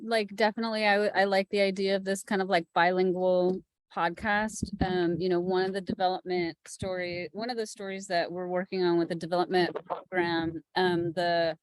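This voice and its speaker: A woman's voice: fairly low pitch, dry recording, rough recording. A woman speaking informally as a panelist, her casual talk peppered with filler words. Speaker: panelist, podcast producer.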